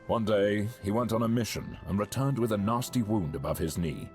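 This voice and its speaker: An actor playing a sombre adult male game character who recounts a bounty in a measured way. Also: fairly low pitch, a little mellow, close to an everyday voice. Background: game music.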